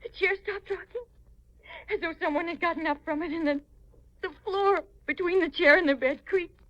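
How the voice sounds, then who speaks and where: An actress playing a lost, confused young woman in a halting, plaintive way, in the style of a 1950s radio mystery. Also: extremely high pitch, dry recording. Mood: frightened. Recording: old muffled radio recording, hissy.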